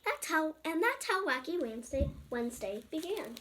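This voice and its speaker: Young female child reader, high voice, chatty picture-book read-aloud with asides, noisy home recording. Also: very animated, normal speed, fairly bright tone. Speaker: storybook reader and channel presenter.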